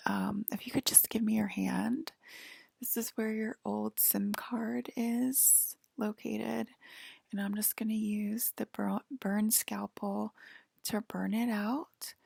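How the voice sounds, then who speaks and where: A woman whispering softly and soothingly in an improvised ASMR sci-fi roleplay, playing a calm alien abductor tending to a patient. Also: medium pitch, dry recording, studio quality. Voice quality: breathy whisper. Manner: soft, slow, soothing. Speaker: ASMR performer. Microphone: close microphone, dry.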